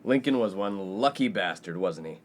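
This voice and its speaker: Man playing a glib, lively young college playboy. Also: lowish voice, moderate pace, close to an everyday voice. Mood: breezy, smug. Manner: glib, breezy, self-important. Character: brash, self-absorbed, smooth-talking college playboy best friend. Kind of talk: comedic 1950s-set radio play acting. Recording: faint background noise.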